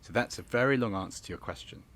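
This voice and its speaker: A man's voice: on the low side, fast talker, fairly bright tone. A man giving a rambling, hedging interview answer as a theatre director. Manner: rambling, hedging with many 'sort of's.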